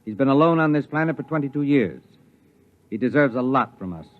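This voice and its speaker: A man's voice: medium pitch, expressive, brisk, close to an everyday voice. Actor doing a formal space commander guy, 50s radio play, very narrow old recording. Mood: measured, serious. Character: authoritative male spaceship commander, brisk and measured. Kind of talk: 1950s radio drama acting.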